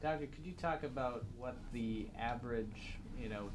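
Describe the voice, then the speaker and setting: A man's voice: mid-range voice, moderate pace. A man asking questions as a reporter at a press conference, easygoing in manner. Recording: loud crowd noise behind. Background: crowd.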